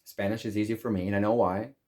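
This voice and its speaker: A man whose first language is English, hosting his own channel and speaking calmly and deliberately in measured phrases. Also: fairly low pitch. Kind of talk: slow reflective monologue.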